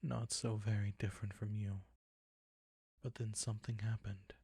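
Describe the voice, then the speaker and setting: Man acting a low-pitched seductive vampire count, very breathy and husky, predatory, possessive, silky teasing, intimate audio roleplay. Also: little pitch movement, warm tone, no room sound, clean studio sound. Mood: brooding, seductive. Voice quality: husky, breathy, often near a whisper. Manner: slow, deliberate, silky teasing, commanding.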